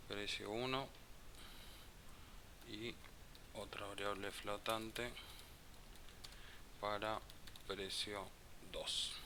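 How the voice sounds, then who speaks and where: A man teaching a programming class, explaining code slowly and thinking aloud as he types. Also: on the low side, sharp tone. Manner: slow, halting, thinks aloud with restarts. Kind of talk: live coding class explanation. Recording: faint hiss.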